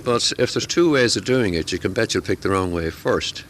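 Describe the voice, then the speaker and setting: A man's voice: fairly low pitch, animated, brisk, fairly bright tone. A middle-aged man who tutors amateur radio classes, talking at a convention in a hesitant way, with many fillers and repeated words. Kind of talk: conversational interview.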